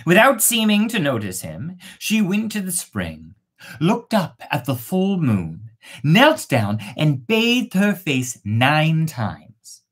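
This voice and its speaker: A woman narrating a fairy tale as host, playful and breaking off for casual asides. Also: low-pitched. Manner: playful, self-interrupting. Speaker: storyteller and host.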